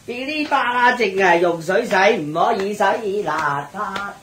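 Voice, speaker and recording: An actor playing an eccentric, rambling street singer in a radio drama, whimsical and sing-song. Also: male voice, high voice, animated, a little slow, fairly bright tone, slight background noise. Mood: chanting, whimsical. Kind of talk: radio drama acting, half-sung chanting.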